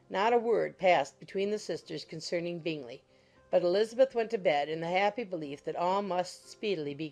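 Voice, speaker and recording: A woman narrating a classic novel in a measured, literary storytelling manner. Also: fairly low pitch, a little mellow. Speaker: audiobook narrator. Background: background music.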